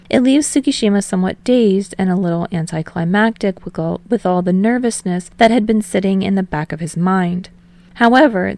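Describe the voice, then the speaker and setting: A woman's voice: on the low side, gravelly, a little mellow. A woman reading scripted fiction aloud as an audiobook narrator.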